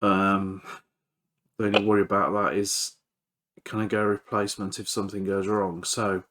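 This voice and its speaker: A man's voice: lowish voice, flat delivery, moderate pace, a bit bright. A man giving casual, hands-on repair commentary as he works, hesitant and thinking aloud. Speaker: electronics repairer presenting a video.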